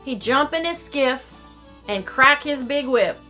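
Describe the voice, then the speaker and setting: A woman voicing a folksy, excitable Cajun storyteller, reading rhymed storybook verse theatrically in a singsong dialect. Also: high-pitched, slow, soft background music, voice pushed up, exaggerated voice. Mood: excited.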